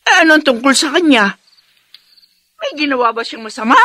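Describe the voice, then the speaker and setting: Lively, caring Filipino mother. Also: high voice, moderate pace. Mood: concerned. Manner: motherly, questioning. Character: caring, concerned middle-aged Filipino mother. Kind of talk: Filipino radio drama acting.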